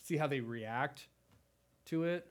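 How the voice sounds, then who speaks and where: Man, a bit high in pitch, podcast co-host, casual conversational banter. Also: slow pace.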